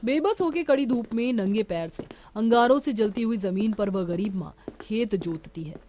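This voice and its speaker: A woman's voice: on the high side, moderate pace, mellow, a little hiss or hum. A woman reading a scripted story as a narrator, in a dramatic storytelling style. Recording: music behind the voice. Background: background music.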